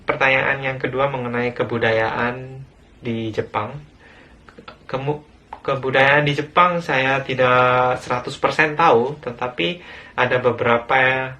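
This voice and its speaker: A man's voice: medium pitch, sharp tone, muffled recording. A young Indonesian man giving an earnest, plain talk in a recorded video, with some hesitations. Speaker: guest speaker living abroad.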